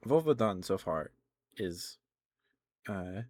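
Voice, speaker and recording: Low-pitched man in casual ASMR chat. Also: expressive, slow pace, a little mellow, no room sound, studio quality. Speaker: live streamer. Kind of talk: casual rambling ASMR chat about video games.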